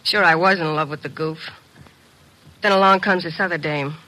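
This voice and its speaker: Hard-boiled jilted woman, forceful bright voice, bitter, old-time radio drama. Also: deep voice, steady tone, moderate pace, rough recording.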